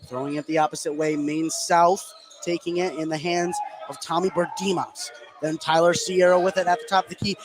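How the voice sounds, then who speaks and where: A man's voice: a little high, level tone, a little fast. A man calling live basketball play-by-play as the announcer. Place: indoor basketball gym, courtside. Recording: noisy gym ambience, bouncing basketball. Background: game noise, ball bouncing.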